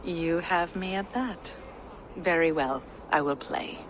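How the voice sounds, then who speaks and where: An actress playing a serious, dry-witted young giantess in a video game, speaking bluntly and formally. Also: female voice, fairly low pitch, takes it slowly, noisy background. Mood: guardedly playful.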